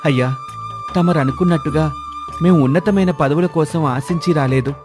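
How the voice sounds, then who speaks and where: A man's voice: a little high, moderate pace, over music, close to an everyday voice. A man voicing a humble, earnest young forest villager who speaks respectfully in a cartoon moral story. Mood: humble pleading. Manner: respectful, pleading.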